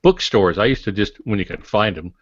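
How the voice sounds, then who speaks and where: A middle-aged man who writes fiction, chatting on a podcast in a measured, explanatory way with dry humor. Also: lowish voice, lively intonation. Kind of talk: casual podcast discussion. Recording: dull audio in echoey room.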